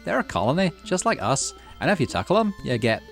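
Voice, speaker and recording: A man's voice: medium pitch, sing-song, fast talker, a bit bright, soft background music, pitched above the performer's own voice. A man voicing a cheerful, folksy farmer dad in a visual novel, chatting and explaining things to his child. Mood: proud. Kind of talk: visual novel character read aloud.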